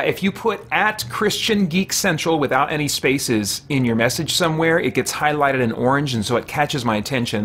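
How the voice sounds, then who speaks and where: A man's voice: mid-range voice, a bit bright, a little hiss or hum. A man in his forties doing casual livestream gameplay commentary, playfully talking to himself, his characters and viewers. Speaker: game streamer and host. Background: game music.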